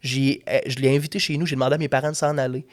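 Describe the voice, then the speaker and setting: A man's voice: mid-range voice, level tone, rapid-fire. A young man with a Québécois French accent, co-hosting a casual comedy podcast, joking and laughing often. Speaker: podcast co-host.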